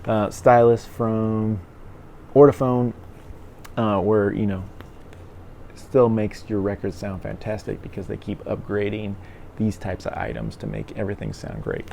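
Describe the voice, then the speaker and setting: Male vlogger, middle-aged. Very mellow, lowish voice, loose rambling delivery, noticeable background noise. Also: lively intonation, unhurried, creaky. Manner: rambling, conversational. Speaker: music gear reviewer and vlogger. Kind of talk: casual opinion vlog.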